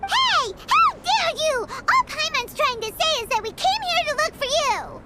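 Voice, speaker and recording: Squeaky, very high childlike fairy sidekick. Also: female voice, very animated, unhurried, very noisy, exaggerated voice. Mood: indignant. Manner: refers to self in third person, exclamatory, cheeky. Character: small chirpy childlike fairy companion, excitable and indignant. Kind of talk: anime fantasy game voice acting. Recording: loud music behind the voice. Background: game music.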